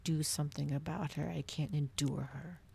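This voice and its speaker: A woman's voice: low voice, fairly even intonation, moderate pace, creaky, darkish tone, a little hiss or hum. A woman voicing a domineering, cruel male vampire in a storybook reading, taunting and theatrical. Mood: exasperated.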